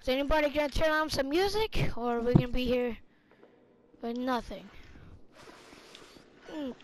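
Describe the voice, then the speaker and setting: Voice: high voice, normal speed, slight background noise, low-quality recording. A player chatting casually in improvised roleplay during a video game, over a home gaming voice chat.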